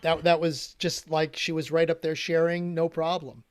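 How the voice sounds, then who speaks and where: A man co-hosting a virtual open mic night, encouraging the performers in a measured way. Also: fairly high pitch, level tone, clear, resonant tone. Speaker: co-host.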